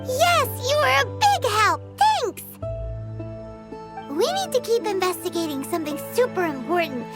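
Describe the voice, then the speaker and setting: Video game fairy companion: very high, childlike, squeaky, animated, chirpy and curious. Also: female voice, normal speed, loud music behind the voice, exaggerated voice. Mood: earnest, chirpy. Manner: chirpy, expressive, refers to herself by name. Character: tiny excitable childlike floating fairy companion, cheerful and nosy.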